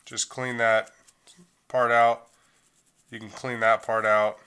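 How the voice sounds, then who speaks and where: A man's voice: medium pitch, takes it slowly, smooth. A middle-aged man giving a casual, step-by-step phone repair tutorial at a workbench, talking loosely with plenty of fillers. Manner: relaxed, loose delivery with frequent fillers.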